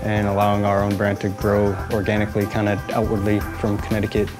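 A man in his thirties at a brewery, answering interview questions earnestly and haltingly, often repeating words. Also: lowish voice, completely flat delivery, music in the background. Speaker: brewery manager. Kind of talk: interview and plant tour.

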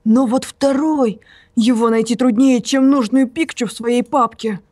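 Brief: woman playing a deadpan, grumbling hero, a little high-pitched. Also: unhurried, dry recording, studio quality. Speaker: voice actor for the hero. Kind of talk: amateur visual novel voice-over.

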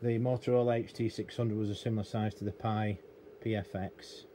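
A man's voice: lowish voice, steady tone, fairly quick, mellow. A middle-aged British man giving an unscripted, rambling, matter-of-fact hands-on explanation at an indoor workbench. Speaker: radio technician and hobbyist presenter. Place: indoor cluttered electronics workbench. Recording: noticeable room noise behind voice.

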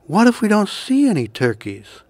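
A man's voice: high-pitched, wildly swooping pitch, normal speed, a little mellow, dry recording, studio quality, pitched above the performer's own voice, full character voice. A man voicing a hesitant little pilgrim in a storybook, with a doubtful manner. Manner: doubtful, questioning. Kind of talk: storybook character voice.

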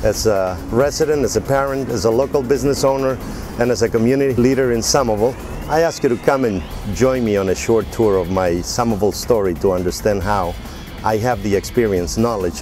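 Earnest man from El Salvador, lowish voice. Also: darkish tone, soft background music. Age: 40s. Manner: earnest, measured, with frequent "uh" pauses. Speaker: political candidate. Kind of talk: campaign video address. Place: outdoors on city streets and inside a restaurant. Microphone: lapel mic.

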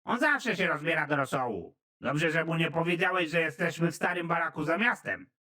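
A man's voice: fairly high pitch, animated, a little fast, pushed and bright. A man doing a sardonic young kidnapper sidekick. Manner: dry, mocking.

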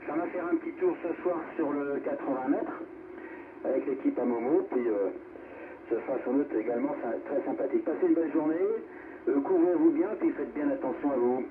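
A man chatting in a rambling, chummy way as an amateur radio operator, heard over the air. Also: medium pitch. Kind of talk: casual radio chat. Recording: noisy narrow-band radio reception.

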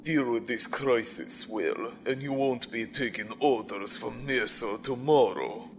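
Sci-fi audio drama: ruthless imperial commander played by a man, formal, controlled menace, mid-pitched, faint noise behind. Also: a little slow, gravelly. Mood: cold command. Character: calculating, ruthless male station commander in a space empire. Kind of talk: science fiction audio drama acting.

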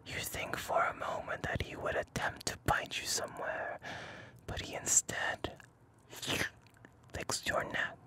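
A man narrating a scripted ASMR story in a breathy whisper, with deliberate delivery. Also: sharp tone. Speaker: voice actor narrator.

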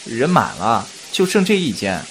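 A man's voice: medium pitch, huge pitch swings, moderate pace, a bit bright, a little hiss or hum. A man playing a devoted, eager young boyfriend in an audio drama, with a coaxing, doting manner. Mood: teasing, eager.

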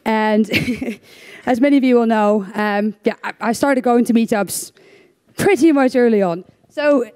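Young woman from the netherlands on a conference stage, kinda high voice but mellow, chatty, lots of ums. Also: a little slow, clean tone. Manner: chatty, self-deprecating, many fillers and restarts. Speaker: conference speaker. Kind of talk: conference talk.

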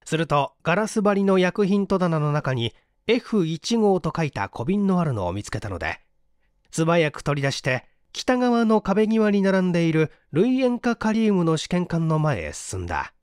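Animated male mystery story narrator. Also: high voice, moderate pace, smooth, no echo, studio quality. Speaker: audiobook narrator.

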